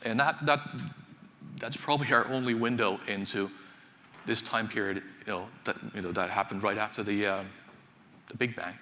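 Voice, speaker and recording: A man from Finland giving a technical conference talk in a large hall, speaking haltingly with many fillers and repeated words. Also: on the low side, fairly even intonation, pushed and bright, a little hiss or hum. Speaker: conference speaker, associate professor. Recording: reverberant hall, faint ambience.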